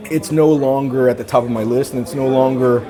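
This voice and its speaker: A man's voice: medium pitch, fairly even intonation, brisk. Laid-back forty-year-old man, rambling. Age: 40s. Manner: rambling, many restarts and fillers, laid-back. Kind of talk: casual conversation. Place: indoor watch boutique event. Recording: voices over a chatty crowd.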